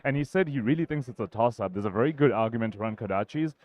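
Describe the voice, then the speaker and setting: A man's voice: medium pitch, lively intonation, fast talker, a little mellow, dry recording. A man giving analytical live commentary as a caster at a card game tournament. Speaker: esports-style tournament commentator.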